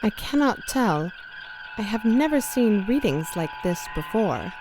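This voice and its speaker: An actress playing a formal, precise starship communications lieutenant in a science fiction audio drama. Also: female voice, mid-range voice, big pitch swings, measured pace, over music, rough recording. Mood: puzzled, formal.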